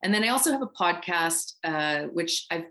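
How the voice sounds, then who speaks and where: A woman's voice: on the low side, animated, normal speed, pushed and bright. A middle-aged woman from Canada, talking casually and reflectively as an interview guest. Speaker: guest voice actor and writer.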